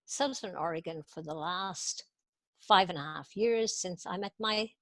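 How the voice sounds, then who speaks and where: A woman's voice: fairly low pitch, a little slow, no room sound, studio quality. A woman from Ireland, talking casually and hesitantly as a guest practitioner. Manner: hesitant, searching for words, animated when describing overwhelm. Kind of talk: casual conversation in a tapping session.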